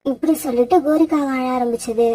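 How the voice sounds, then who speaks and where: A woman with a husky voice narrating a scripted children's story. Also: high-pitched, fast, mellow.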